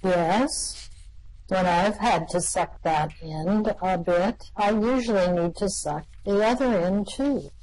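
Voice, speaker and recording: Woman doing a grim jumpy alien guy on the run, talks slow, full of dark warnings. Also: medium pitch, low-quality recording. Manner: tense, ominous warnings. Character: anxious, grim male alien fugitive who knows the danger. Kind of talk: amateur radio play reading.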